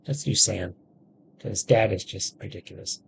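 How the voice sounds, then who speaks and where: Man, gravelly fry, unhurried, casual gaming commentary, thinking aloud, faint background noise. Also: deep voice. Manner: halting, thinking aloud, spelling out what he types. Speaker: gaming video host. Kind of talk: casual let's-play commentary. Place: indoors at a computer.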